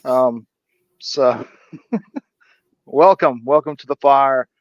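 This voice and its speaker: A man's voice: medium pitch, drawn-out words, no echo. A man hosting a casual livestream chat, joking around and teasing his guests. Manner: joking, laughing, playful teasing. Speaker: host. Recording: dry, dull lo-fi livestream audio.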